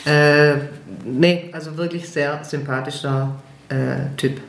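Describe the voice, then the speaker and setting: A woman's voice: low-pitched, fairly even intonation, slow, vocal fry, slight background noise. A German woman singer, chatting in an interview, hesitant and self-deprecating with many fillers. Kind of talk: casual interview chat. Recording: echoey room.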